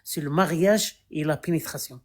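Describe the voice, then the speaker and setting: A man's voice: fairly high pitch, moderate pace, a loud crowd. A man in a casual debate who explains hesitantly, often repeating his words.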